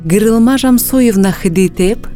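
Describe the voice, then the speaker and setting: A woman's voice: mid-range voice, unhurried, warm tone, soft background music. A woman reading words and phrases for a language lesson, carefully enunciated for learners to repeat, on a close studio mic. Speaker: native-language speaker in a lesson.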